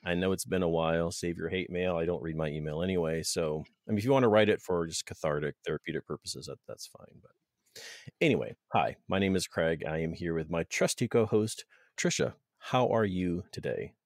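Brief: deep-voiced male podcast host, playful and rambling, dry close-mic sound. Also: a little fast, dry recording. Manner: joking, rambling, self-interrupting. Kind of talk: casual podcast chat.

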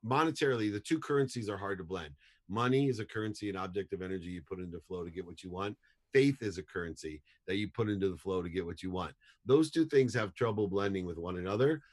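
A man's voice: on the low side, fast. Emphatic motivational man in his fifties. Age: 50s. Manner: emphatic, punchy, repeats key phrases for effect. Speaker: guest, entrepreneur and motivational speaker. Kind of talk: energetic motivational storytelling.

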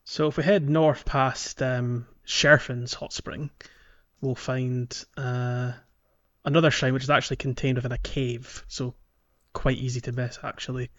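A man's voice: mid-range voice, level tone, unhurried, fairly bright tone. A man hosting a casual video game playalong podcast, explaining things in a tentative, hesitant way. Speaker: podcast host. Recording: slightly dull audio, music bed. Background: background music.

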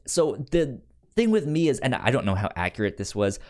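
A podcast co-host chatting casually about pop culture, often repeating syllables and stumbling over words, with animated emphasis. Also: medium pitch. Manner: halting speech with repeated syllables and stammered words.

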